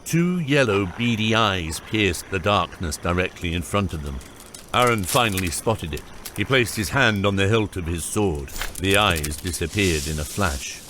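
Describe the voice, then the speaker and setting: A man giving measured, scripted dramatic narration as the narrator of an epic fantasy audiobook. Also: deep voice, animated, pushed and bright, a little hiss or hum. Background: prominent music.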